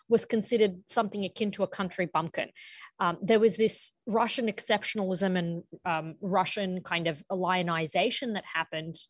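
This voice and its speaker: A woman from Ukraine giving an articulate, measured explanatory answer as an interviewed analyst, stressing her key points. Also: fairly low pitch, dry recording. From born in Ukraine.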